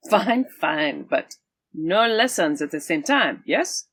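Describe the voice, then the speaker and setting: A woman's voice: deep voice, animated, slow, fairly bright tone, slightly rough, no room sound, full character voice. A woman playing a proud, teasing swashbuckling swordswoman in an audio drama, with a theatrical, imperious manner. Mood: stern, exasperated. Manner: theatrical, formal phrasing, imperious.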